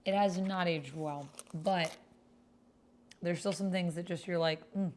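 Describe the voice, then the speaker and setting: A woman's voice: on the low side, steady tone, measured pace. A woman streamer chatting casually and reading out her typed roleplay in a gushing, sentimental manner. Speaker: streamer playing a text-based roleplaying game. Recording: clear background noise, keyboard typing. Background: computer keyboard typing.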